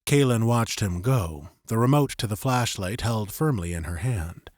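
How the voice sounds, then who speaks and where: A man narrating scripted fiction as an audiobook, with measured, suspenseful storytelling. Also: lowish voice, lively intonation, no room sound, studio quality.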